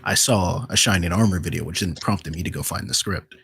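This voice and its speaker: Low gravelly man, casual panel talk. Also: brisk, fairly bright tone, no echo. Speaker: podcast guest, voice actor and video maker.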